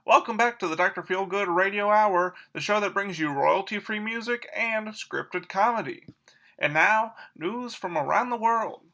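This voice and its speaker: Man doing a bright, high-pitched 1940s radio host. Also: normal speed. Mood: peppy, showy. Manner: folksy, showy announcer patter. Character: peppy 1940s radio variety show host.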